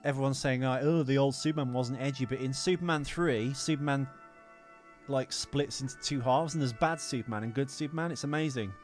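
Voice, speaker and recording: A man's voice: mid-range voice, brisk, soft background music. A man co-hosting a podcast in casual, opinionated film chat, ranting emphatically and swearing freely. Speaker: podcast co-host.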